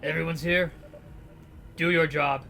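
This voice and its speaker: A man's voice: a little high, fairly even intonation, unhurried, vocal fry, pushed and bright, slight background noise, exaggerated voice. A man putting on a cold, sneering gangster boss. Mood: cold mocking. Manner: detached, sneering, commanding. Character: cold, mocking male gangster leader.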